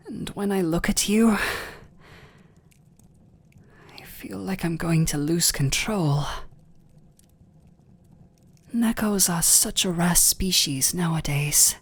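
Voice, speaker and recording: Noble British vampire lord, played by a man, ASMR audio drama: husky, unhurried, restrained and hesitant, tormented tenderness. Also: a little high, heavily acted. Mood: strained longing, then hushed craving. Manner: formal, hesitant, breathy half-whispers. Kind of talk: intimate ASMR roleplay acting.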